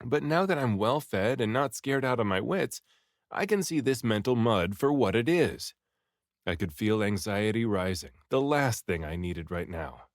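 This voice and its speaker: A man reading a story aloud in an expressive, theatrical way, acting out the dialogue. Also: lowish voice, a little slow, no echo, clean studio sound. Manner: animated, theatrical delivery with acted dialogue. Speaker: audiobook reader.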